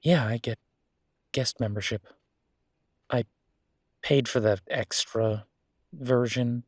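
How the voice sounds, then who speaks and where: A man's voice: fairly low pitch, no room sound, clean studio sound, close to an everyday voice. A man playing a friendly, playfully vain werewolf boyfriend in a casual roleplay audio, talking in an easygoing, teasing, conversational way.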